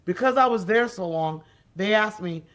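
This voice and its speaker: A woman in her forties with a deep, raspy voice, telling her story as a podcast guest in an animated, emphatic way and repeating key phrases. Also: moderate pace, slight background noise. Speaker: podcast guest, special education advocate. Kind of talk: conversational interview storytelling.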